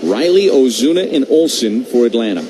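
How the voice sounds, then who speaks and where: A man doing live baseball play-by-play on radio, talking in measured short phrases with conversational asides. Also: medium pitch, sing-song. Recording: clearly noisy live radio broadcast.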